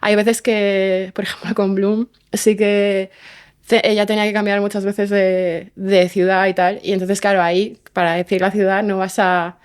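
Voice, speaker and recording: A woman with an accent from Spain chatting casually as an interview guest, halting and often tagging her phrases with little questions. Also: medium pitch, flat delivery, clean tone. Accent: Spanish from Spain. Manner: halting, peppered with tag questions. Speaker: guest, audio fiction writer and director.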